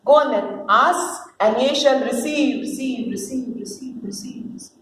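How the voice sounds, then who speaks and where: A woman's voice: a little high, measured pace, a bit bright. A woman voicing God as a grand female creator in a play reading, regal, indulgent and impatient, with grandly declarative delivery. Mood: impatient, commanding. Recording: roomy echo with faint noise.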